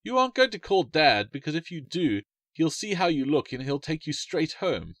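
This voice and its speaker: An earnest, dreamy young girl in a storybook, chiding and coaxing in a raised, brighter voice, as a male narrator puts her on. She is prim and a little bossy. The sound is close and dry. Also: a little high, very animated, normal speed, no echo, pitched above the performer's own voice, exaggerated voice. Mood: indignant.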